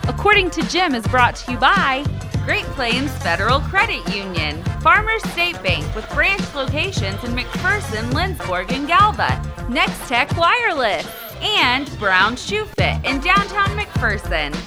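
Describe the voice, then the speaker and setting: A woman's voice: high voice, big pitch swings, unhurried. A woman reading a scripted radio promo and sponsor list as announcer. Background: loud music bed.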